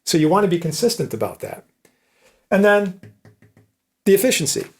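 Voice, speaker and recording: Male professor, somewhat high voice. Conversational technical teaching, explains step by step with frequent check-in tags. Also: big pitch swings, moderate pace, gravelly, slightly rough. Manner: explanatory, conversational, checks understanding with tag questions. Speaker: electronics professor. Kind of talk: informal technical lecture.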